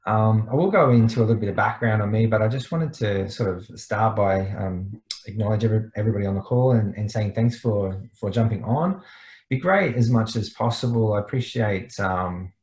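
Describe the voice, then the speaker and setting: A man's voice: on the low side, fairly quick, darkish tone. A man with an Australian accent giving an informal online presentation as a webinar guest, speaking casually with a slight tendency to mumble. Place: indoor room, online video call. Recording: roomy, echoey sound.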